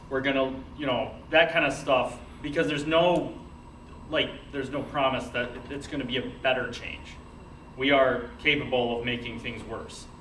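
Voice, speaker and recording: A man's voice: medium pitch, sharp tone. A man speaking thoughtfully and off the cuff to a group. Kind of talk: spontaneous remarks to a group. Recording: noisy echoey room, distant mic.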